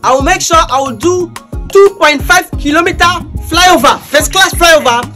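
Actor as a high-pitched boastful Nigerian politician. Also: male voice, normal speed. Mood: bombastic, insistent. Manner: bombastic, repetitive campaign speech. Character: boastful, clownish male election candidate at a rally. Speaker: actor in a comedy skit. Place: open hall with small crowd, camera. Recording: loud music behind.

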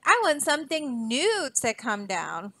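Woman doing a bellowing, bad-tempered storybook king, loud and bright. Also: high voice, big pitch swings, measured pace, no echo, full character voice. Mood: indignant. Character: grumpy old king, bellowing and demanding.

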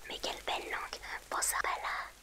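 A woman streamer whispering softly and gently to her viewers in an ASMR livestream, close to the mic. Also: brisk, low-quality recording.

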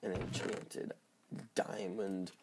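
Man, gaming video host, lowish pitch, slightly hoarse morning voice, informal halting commentary, faint background noise with clicks. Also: level tone. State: slightly hoarse, just woke up. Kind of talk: casual gameplay commentary.

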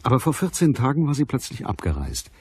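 Hardboiled-style private eye in a radio drama, low husky voice, dry and sceptical, very close dry sound. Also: male voice, wildly swooping pitch, darkish tone, no echo, full character voice. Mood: dry, narrating. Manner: dry, measured, matter-of-fact. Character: sceptical, matter-of-fact male private detective. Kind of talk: radio play narration and dialogue.

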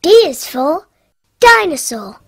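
A cheerful little cartoon piglet girl, with a very high, bouncy voice that leaps all over. She recites letters slowly and carefully in a giggly sing-song over soft music. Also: very animated, vocal fry, full character voice. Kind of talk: cartoon alphabet lesson.

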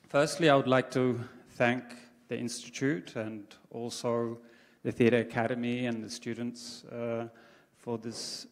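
A man, giving a conference talk on stage into a handheld mic, measured and thoughtful with frequent ums and restarts. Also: medium pitch. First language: English. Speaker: conference speaker. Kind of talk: conference presentation. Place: dark theatre stage with projection screen. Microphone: handheld microphone. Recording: long reverb of a large theatre hall.

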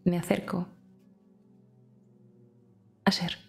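Mujer de voz grave y áspera. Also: female voice, lowish voice, little pitch movement, very slow, vocal fry. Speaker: player. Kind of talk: in-character roleplay and narration. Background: faint music.

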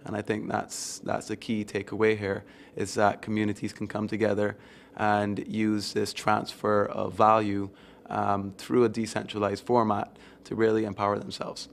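A man from Barbados answering interview questions in a measured, reflective way with frequent ums, speaking into a handheld mic at an event venue. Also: fairly low pitch, monotone, creaky, slight background noise. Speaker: interview guest. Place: event venue with windows, seated on sofa.

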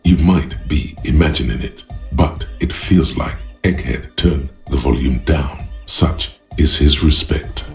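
A man voicing a grave, deliberate inner narrator in a video game, in dramatic scripted style. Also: extremely low pitch, darkish tone, heavily acted. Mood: calm, wry. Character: grave male narrator, inner voice of a detective's mind. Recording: music behind the voice.

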